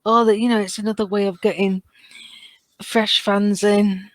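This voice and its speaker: Female podcast guest, mid pitch, very dry recording, conversational and tentative. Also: flat delivery, moderate pace, dry recording. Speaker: podcast panellist. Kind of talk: casual podcast discussion.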